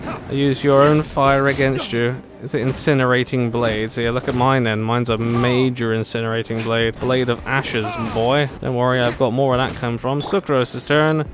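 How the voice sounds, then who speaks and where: A woman's voice: extremely low pitch, fairly even intonation, moderate pace, background noise. A woman giving casual, chatty, playful gameplay commentary as a video host, reacting aloud to the characters. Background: faint game music.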